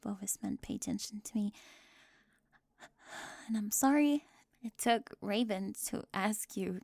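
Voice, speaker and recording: A woman playing a bossy, high-strung, organised teenage girl in an ASMR roleplay, fussy and emphatic, often dropping into breathy whispers. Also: a little slow, darkish tone, no room sound, studio quality. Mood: vulnerable, anxious. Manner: fussy, emphatic, flustered, clipped.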